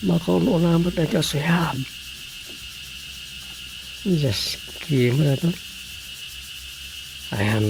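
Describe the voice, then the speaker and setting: An actor playing a rambling, exclamatory Filipino villager who muses aloud in a radio comedy. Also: male voice, a little high, gravelly, noisy background, full character voice. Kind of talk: radio drama comedy acting.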